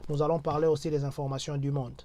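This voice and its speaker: A man's voice: fairly high pitch, flat delivery, fast talker, mellow. A man with a Congolese French accent, hosting a channel and urging viewers in a repetitive, rhetorical style, slipping into Lingala now and then. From Democratic Republic of the Congo. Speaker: host of a diaspora channel. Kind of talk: exhortative address to viewers.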